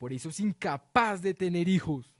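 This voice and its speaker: Man acting a high-voiced zealous witch accuser. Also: expressive, moderate pace, dry recording, studio quality. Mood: damning. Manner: solemn, accusatory declamation. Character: zealous male accuser rousing a village against a witch. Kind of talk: Spanish-language radio drama acting.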